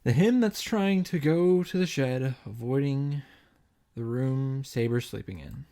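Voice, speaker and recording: A man's voice: medium pitch, unhurried, warm tone. A man hosting a story recap, reading the narration dramatically and adding wry commentary of his own. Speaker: host of a visual novel reaction and summary series.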